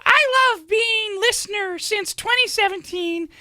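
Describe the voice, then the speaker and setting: A man reading a listener's email in a put-on, very high, boyish voice, voicing a nervous but cheerful man in his twenties. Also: a little slow, bright, forceful tone, no echo, studio quality, heavily acted. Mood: earnest. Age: 20s. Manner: earnest and eager, mocked by the reader.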